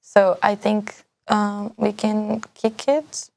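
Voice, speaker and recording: A young woman from Portugal hosting a live student talk show, upbeat and chatty, with quick bursts of speech. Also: medium pitch, monotone, vocal fry, darkish tone. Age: young adult. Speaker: host.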